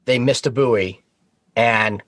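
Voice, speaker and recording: A man's voice: mid-range voice, takes it slowly, no room sound, clean studio sound. A man chatting informally as a podcast guest, telling work stories and swearing casually. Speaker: podcast guest, Coast Guard boat operator.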